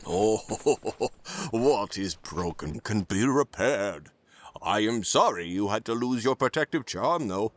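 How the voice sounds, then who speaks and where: Man acting a kindly old Santa, slow and lilting. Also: lowish voice, lively intonation. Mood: grave, forgiving. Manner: measured, avuncular, formal. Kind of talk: audio drama acting.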